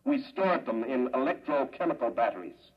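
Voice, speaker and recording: Smug, muffled radio mastermind. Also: male voice, mid-range voice, big pitch swings, normal speed, slightly rough. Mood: smug, calm. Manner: condescending, urbane, matter-of-fact. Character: calm, smug male mastermind scientist running a cruel experiment. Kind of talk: 1950s radio drama acting. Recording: muffled vintage radio recording.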